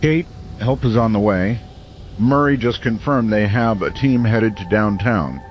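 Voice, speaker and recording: An actor playing a community radio host during an emergency in an audio drama, measured, earnest and reassuring. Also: male voice, lowish voice, lightly acted. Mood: reassuring, urgent. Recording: dull lo-fi radio sound, faint noise.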